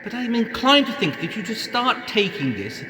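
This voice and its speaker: A man's voice: high-pitched, big pitch swings, moderate pace, sharp tone, exaggerated voice. An actor playing a worried, deferential hotel maintenance man in an audio drama, earnest, formal and cautious. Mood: concerned. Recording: reverberant space with clear background noise.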